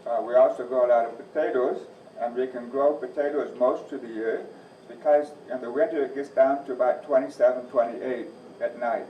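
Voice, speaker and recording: A man in his sixties from Northeast Iowa with a softened Midwestern accent, giving a plain, folksy talk with wry asides. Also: medium pitch, steady tone, a little fast, slight background noise. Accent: softened Midwestern American. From Northeast Iowa, USA. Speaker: agriculture teacher and farm advisor. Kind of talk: presentation to a church audience. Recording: distant, echoey, muffled room recording.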